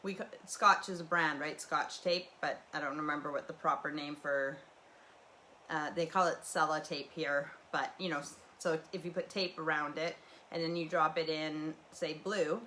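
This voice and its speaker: A woman's voice: sits low, steady tone, moderate pace, pushed and bright, a little hiss or hum. A woman from the prairies, hosting a casual rambling livestream from home and chatting in a meandering way, full of fillers and restarts. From Canadian prairies. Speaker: livestream host.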